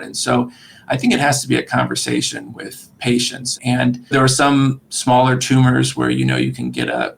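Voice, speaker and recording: Man with a heavily creaky voice. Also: mid-range voice, poor audio. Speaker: surgeon-researcher guest. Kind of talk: podcast interview explanation.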